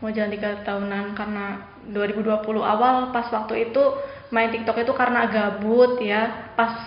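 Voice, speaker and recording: A woman's voice: medium pitch, level tone, normal speed. A young woman from Brebes with a Banyumasan ngapak accent, chatting politely as an interview guest. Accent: Banyumasan (ngapak) Javanese. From Brebes, Central Java. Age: young adult. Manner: chatty, calls the host "Bu" politely. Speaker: guest, TikTok creator. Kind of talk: casual interview chat. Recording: roomy, slightly dull podcast audio with faint hiss.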